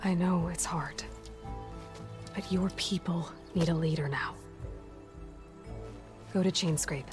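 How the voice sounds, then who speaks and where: Low-voiced, pragmatic young warrior heroine. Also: female voice, steady tone, slow, long pauses. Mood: gentle resolve. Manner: frank, reasoning, understated. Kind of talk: video game cutscene acting. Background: music.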